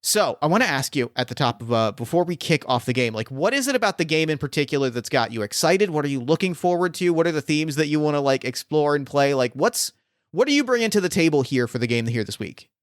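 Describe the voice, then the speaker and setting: A man's voice: fairly high pitch, expressive, fast talker, no echo, studio quality. A man hosting a podcast as game master, talking casually and often restarting as he searches for words. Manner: rambling, gropes for words with many restarts.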